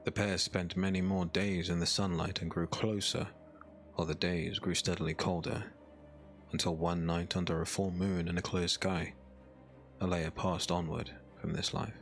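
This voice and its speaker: A man narrating a dark fantasy audiobook as a scripted story, in a solemn, measured manner. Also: low voice, flat delivery, faint music behind.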